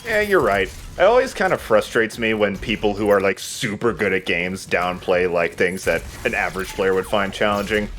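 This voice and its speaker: A man's voice: on the low side, lively intonation, moderate pace, few pauses, a bit bright, a little hiss or hum. A man chatting casually as he commentates on his gameplay, in an informal, self-deprecating way. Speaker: let's player playing and commentating. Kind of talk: casual gaming commentary chat.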